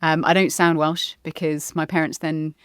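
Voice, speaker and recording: A woman's voice: deep voice, level tone, smooth, dry recording, studio quality. Thoughtful woman in her forties. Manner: thoughtful, measured, pauses with ums. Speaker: podcast guest. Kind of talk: reflective conversation.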